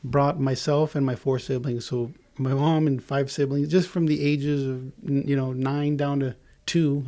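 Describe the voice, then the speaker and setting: A man's voice: mid-range voice, dark tone. A man in his forties from Israel, a native Hebrew speaker, casually telling personal stories on a podcast. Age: 40s. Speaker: podcast guest and co-host.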